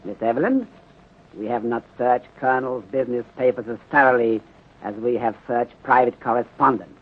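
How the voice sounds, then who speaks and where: A man playing a courteous, methodical Chinese detective inspector in 1930s radio drama style, speaking formally in clipped phrasing with deliberate pauses and dropped articles. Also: medium pitch, close to an everyday voice. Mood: polite, assured. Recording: muffled, narrow-band 1930s radio recording.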